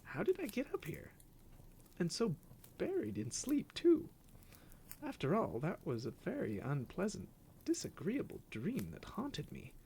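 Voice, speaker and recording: A woman's voice: fairly low pitch, huge pitch swings, measured pace, creaky, mellow, a little hiss or hum, voice pushed up, heavily acted. A woman voicing a bewildered young male copying clerk who exclaims in a flustered way.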